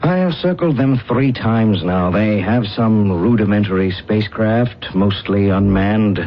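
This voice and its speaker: An actor playing a proud, bitter disgraced alien space captain in a radio drama, with formal, theatrical defiance. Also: male voice, on the low side, measured pace. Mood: defiant. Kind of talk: old-time radio drama acting. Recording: dull, noisy radio drama recording.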